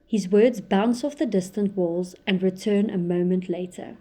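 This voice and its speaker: Mellow woman narrating a drama. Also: mid-range voice. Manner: measured, descriptive. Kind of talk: scripted audio drama narration.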